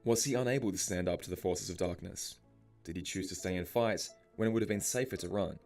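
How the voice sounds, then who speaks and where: A man reading scripted story explainer narration in a measured, storytelling delivery. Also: sits low, darkish tone. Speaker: video narrator. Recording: music behind the voice. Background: background music.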